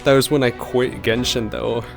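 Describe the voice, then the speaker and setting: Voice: mid-range voice, fairly even intonation, normal speed, gravelly. Chatty game reactor over music. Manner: chatty, laughing asides. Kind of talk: casual reaction commentary. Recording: game music playing behind.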